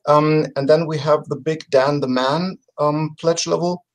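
A middle-aged man talking casually as an interview guest on a video call, often pausing to search for words. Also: on the high side, steady tone. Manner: halting, word-searching delivery. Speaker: podcast guest presenting a crowdfunding campaign. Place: home room with bookshelves, on a video call.

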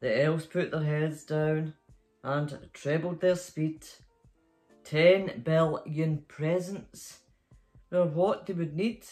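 A woman's voice: low voice, fairly even intonation, slow pace, darkish tone. Scottish woman, middle-aged or older, playful children's storytime host, chatty, addresses young listeners directly, music behind. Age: middle-aged or older, a grandmother. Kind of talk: casual chat and storytime reading for children. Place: small bedroom, indoors.

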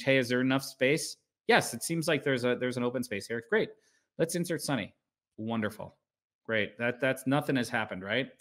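A man's voice: mid-range voice, a bit bright. A middle-aged man giving a casual technical talk over slides on a video call from a room at home, often restarting and adding "right?". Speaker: guest speaker at a developer meetup.

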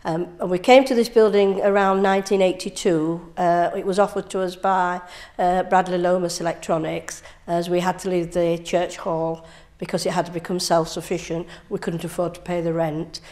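An older woman from England, a cub scout leader giving an earnest interview in a scout hall, halting with many fillers. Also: on the low side, little pitch movement, darkish tone.

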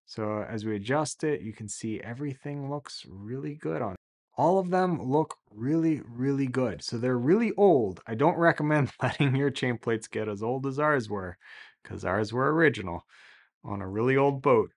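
Man in his 30s, easygoing enthusiastic explainer, mid-pitch voice, small office, desk-distance camera mic. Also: normal speed. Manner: relaxed, enthusiastic, many "really" and "uh" fillers. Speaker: sailing vlogger explaining boat repairs. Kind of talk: casual explanatory talk to camera.

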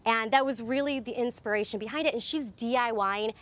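A chatty, bubbly young woman with a fairly high voice walks viewers through a craft project. She is recorded outdoors on a backyard TV set with a clip-on mic, laughing easily as she explains. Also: fast talker, fairly bright tone. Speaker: DIY designer on a lifestyle show. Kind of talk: cheerful how-to demonstration.

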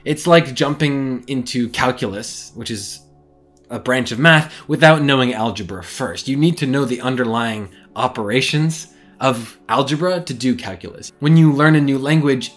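A young man chats straight to his webcam from a small bedroom. He explains ideas casually and stays animated, with his speaking pitch sitting in the middle. Faint music plays under his voice. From English-speaking. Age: young adult. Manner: animated, gestures a lot while explaining. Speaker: language learning vlogger. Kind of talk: casual talking-head vlog.

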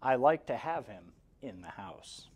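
A man in his forties reads a rhyming picture book aloud for small children. His mid-pitched voice rises and falls in an expressive sing-song. He keeps a slow, measured pace and gives key words clear emphasis. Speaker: guest story reader for kindergarteners. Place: indoor room, seated in front of a banner. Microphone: lapel mic.

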